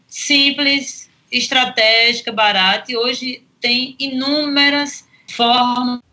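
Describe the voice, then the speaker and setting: Bright, higher-pitched Brazilian woman explaining. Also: low-quality recording. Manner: didactic, listing points. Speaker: health expert guest. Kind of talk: explanatory interview answers with practical tips.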